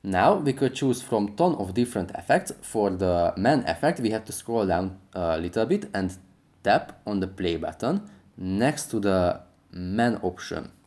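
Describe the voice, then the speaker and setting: A man's voice: on the low side, sing-song, a little slow, a little mellow. A man hosting a how-to video, speaking in scripted tutorial narration.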